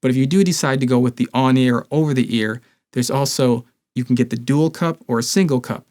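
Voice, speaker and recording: A man's voice: mid-range voice, brisk, a little mellow. Man explaining to camera, mid-range voice. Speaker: product review host. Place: small indoor home studio.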